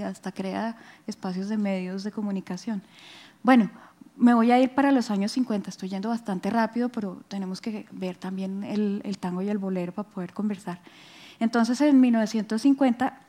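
A woman speaks as a lecturer. Her tone is mellow and relaxed, at a middling pitch. She explains things informally and often rounds off a point with a short checking question. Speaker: lecturer, music researcher. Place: auditorium stage at a book fair. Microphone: headset.

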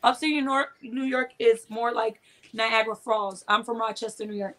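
A woman's voice: fairly high pitch, little pitch movement, moderate pace, bright, forceful tone, poor audio. Chatty woman guest on a video call. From Puerto Rico. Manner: chatty, laughs often, tells stories with quoted asides. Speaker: guest cooking and Disney channel creator. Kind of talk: casual chat on a live video call. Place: small home room indoors. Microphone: video call from a webcam.

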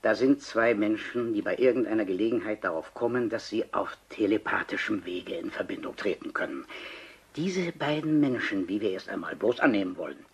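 An actor playing a retired civil servant in a radio crime drama, an older man lecturing precisely and formally about his research in a husky voice. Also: lowish voice, expressive, fairly quick, a little hiss or hum. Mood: intent, insistent. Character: retired civil servant, pedantic, eager amateur telepathy researcher.

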